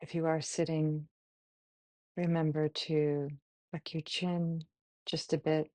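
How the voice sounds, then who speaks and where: Female meditation guide, mellow and even, gentle short phrases with long pauses, close dry sound. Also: low-pitched, no room sound. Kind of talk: guided body scan meditation.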